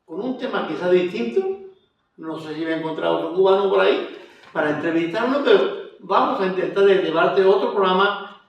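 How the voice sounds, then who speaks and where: A man with an Andalusian Spanish accent who hosts an evangelical program, talking at a fairly high pitch in a chatty, affirming way. He sounds a little distant from the mic in a very echoey room. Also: brisk, gravelly, muffled recording. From Spain. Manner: warm, chatty, with frequent amens. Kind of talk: evangelical TV interview.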